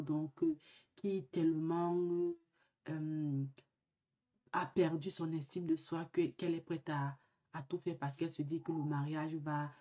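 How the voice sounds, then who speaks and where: A woman's voice: low-pitched, warm tone. A woman hosting a vlog while sick with the flu. She talks in an earnest, reflective way and often stops to hesitate and restart. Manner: halting, many fillers and restarts. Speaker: vlog host. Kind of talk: casual vlog monologue.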